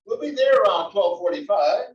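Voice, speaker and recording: Man playing a high-voiced, fussy aging husband. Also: lively intonation, normal speed. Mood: irritable. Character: grumpy, fussy aging husband, dry and nagging. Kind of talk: comedy play table read. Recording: muffled, echoey room, distant mic.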